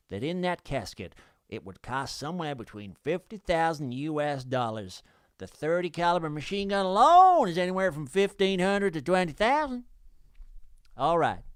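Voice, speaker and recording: A man's voice: on the high side, a bit bright, no room sound, clean studio sound, pitched above the performer's own voice. A man putting on a wandering Southern US accent as a folksy, theatrical American reading out a letter reply on a podcast. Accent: put-on Southern US, wandering.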